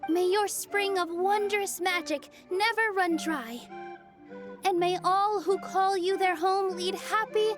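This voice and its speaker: A woman playing a gentle, earnest young female magician in a video game, soft and polite in manner. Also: very high-pitched, takes it slowly, music in the background. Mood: solemn blessing. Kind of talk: animated video game acting.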